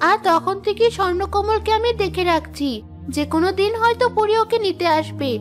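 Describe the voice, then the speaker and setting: A woman's voice: extremely high pitch, fairly quick, faint music behind. A woman voicing a humble, anxious young village cook in a fairy-tale cartoon, earnest and polite. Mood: worried.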